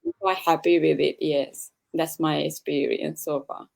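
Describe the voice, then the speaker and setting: Slow, gravelly-voiced woman from Sri Lanka. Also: sits low, steady tone. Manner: slow, hesitant, halting non-native English. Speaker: guest asking questions. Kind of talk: casual video call interview.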